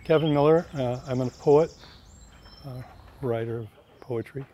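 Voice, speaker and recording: A poet speaking in a thoughtful, halting way, searching for his words during an outdoor interview in a park. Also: medium pitch, warm tone, highs cut off, slight background noise. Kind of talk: reflective interview talk.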